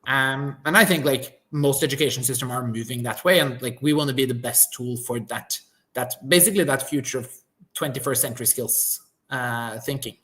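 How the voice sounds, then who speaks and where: Norwegian-accented man, startup founder guest on a video call, eager, self-correcting, mid-pitched. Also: normal speed, fairly bright tone. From Norway. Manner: eager, restarts often, fillers. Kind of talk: casual live-stream interview.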